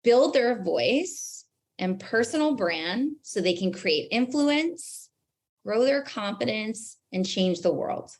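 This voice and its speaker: A woman's voice: on the high side, lively intonation, takes it slowly. An American woman speaking as an interview guest and branding coach, explaining emphatically and posing rhetorical questions.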